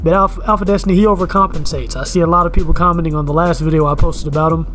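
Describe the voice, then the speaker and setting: A man in his thirties rants into a camera at home in a loose, repetitive way, circling back to the same phrases. His voice sits high for a man, and faint music plays quietly underneath. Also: fairly even intonation, very fast. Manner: ranting, repeats words and "you know what I'm saying". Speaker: fitness vlogger. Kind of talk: casual commentary rant. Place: indoors at home, in front of a camera.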